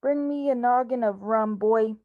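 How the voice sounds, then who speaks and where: A woman playing a gruff, bossy old male sea captain in an amateur radio drama, with salty sailor slang. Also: fairly high pitch, measured pace, narrow, tinny audio, lightly acted. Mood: commanding. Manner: nautical slang, barked orders.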